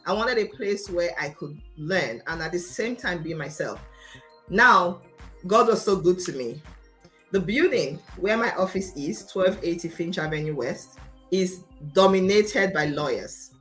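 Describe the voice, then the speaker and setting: Casting: Nigerian woman, middle-aged interview guest, passionate and confident, emphatic delivery, slightly low pitch. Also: lively intonation, unhurried, fairly bright tone, soft background music, poor audio. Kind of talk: personal storytelling in an interview. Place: remote video call.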